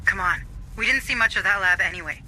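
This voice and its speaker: An actor playing a measured, reassuring mission officer who guides a marine squad over comms in video game dialogue. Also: male voice, high voice, bright, forceful tone, a little hiss or hum, rough recording. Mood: calm. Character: calm, reasoning male mission officer on comms.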